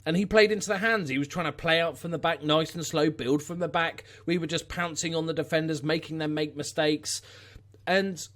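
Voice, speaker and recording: A man's voice: on the high side, fairly quick, fairly bright tone. A man with a Scouse accent hosting a casual, unscripted vlog in an informal, wry way. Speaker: YouTube channel host.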